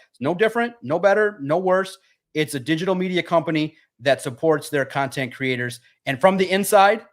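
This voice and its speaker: A man who co-hosts a sports podcast, talking emphatically and repeating phrases for emphasis as he promotes his work. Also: fairly high pitch. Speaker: sports writer and podcast co-host. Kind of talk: animated podcast talk.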